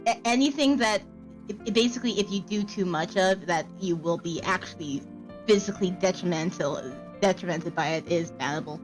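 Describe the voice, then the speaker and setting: A woman streamer reading a visual novel aloud and chatting, with a measured, explanatory way of talking. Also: fairly low pitch, poor audio. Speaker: streamer and narrator. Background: background music.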